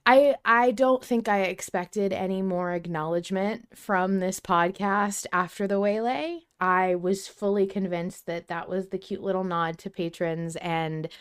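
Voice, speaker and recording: A woman's voice: mid-range voice, smooth. A woman co-hosting a podcast in casual discussion, enthusiastic and candid, with casual swearing. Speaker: podcast co-host.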